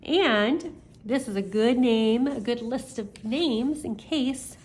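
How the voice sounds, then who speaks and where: Slow-paced older woman reading a storybook. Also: fairly high pitch, husky. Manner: expressive, addresses the young listeners directly. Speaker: grandmother reading stories aloud to children. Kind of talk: read-aloud children's storybook with chatty asides.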